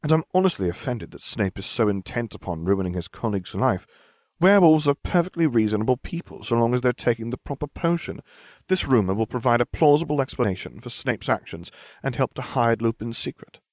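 A man's voice: medium pitch, animated, a little fast, darkish tone, no room sound, clean studio sound, pitched above the performer's own voice, close to an everyday voice. A man voicing a smug, theatrical, scheming schoolchild in an audiobook, glib and mock-grave.

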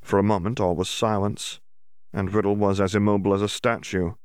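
A man reading a fan fiction audiobook aloud in an even, clear storytelling voice. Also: fairly low pitch, brisk, no echo, clean studio sound. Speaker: audiobook narrator.

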